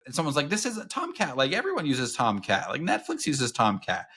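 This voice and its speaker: Bright-voiced man chatting casually. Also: sing-song, fairly quick, husky. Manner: filler-heavy, lots of "like", acts out quotes in a high voice. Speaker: podcast co-host. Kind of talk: casual chat about a book.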